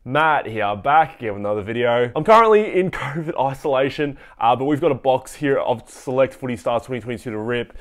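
A man's voice: medium pitch, animated, fairly quick, few pauses. A congested, sniffly young man from Australia casually chatting as he opens trading card packs, rambling with fillers and restarts. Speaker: card collecting channel host. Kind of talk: casual box-break commentary. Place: indoor room at a desk.

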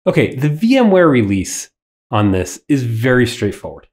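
A man's voice: medium pitch, very animated, unhurried, a little mellow. A man in his thirties with a nasal voice from a cold, hosting a tech channel and explaining to camera from a small studio. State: sick with a head cold. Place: small indoor studio with wood backdrop.

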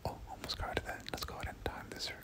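Whispering ASMR gamer with rhythmic word repetition. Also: fast talker. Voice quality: breathy unvoiced whisper. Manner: repeats words in soft rhythmic runs. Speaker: gaming content creator. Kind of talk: whispered ASMR game commentary.

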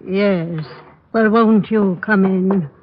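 An actress playing a polite, cool, businesslike psychic's receptionist in 1940s radio style. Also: female voice, medium pitch, drawn-out words. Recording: muffled 1940s radio recording.

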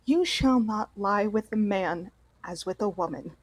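Woman acting devout, slow-spoken mother. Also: medium pitch, dry recording, close to an everyday voice. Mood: solemn, earnest. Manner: halting, earnest, deliberate. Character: devout, loving, remorseful mother of a grown son. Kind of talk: radio play acting.

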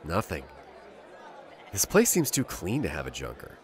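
A man's voice: sits low, wildly swooping pitch, moderate pace, some chatter behind. A man playing a brash, sarcastic, sceptical starship skipper in a sci-fi audio drama, wry and incredulous. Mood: wry, watchful.

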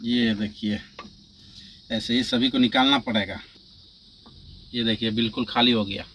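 A man's voice: medium pitch, fairly even intonation, a little slow, noisy background. A man from India narrating a casual trucking and cooking vlog step by step, addressing viewers as friends. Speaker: truck driver vlogger.

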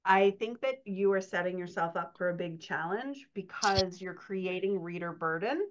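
A middle-aged woman coaches in a casual, conversational podcast style. Her voice sits a little low, and the video-call recording sounds slightly dull. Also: normal speed, slight background noise. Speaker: dialogue coach, podcast guest host. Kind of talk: casual explanatory podcast chat.

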